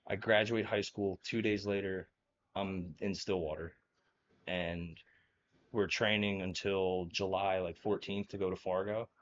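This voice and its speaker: A man's voice: low voice, fairly even intonation, a little slow, dull audio. A young man from New Jersey telling stories as a guest in a casual interview, rambling with lots of fillers and laughing often. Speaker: guest, former college wrestler.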